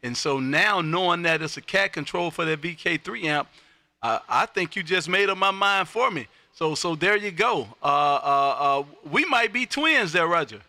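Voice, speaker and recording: A loud, exuberant man hosting a casual amateur radio stream, chatting and calling, repeating himself for emphasis. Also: fairly high pitch, expressive, moderate pace, dry recording. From Texas. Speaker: amateur radio operator and live stream host.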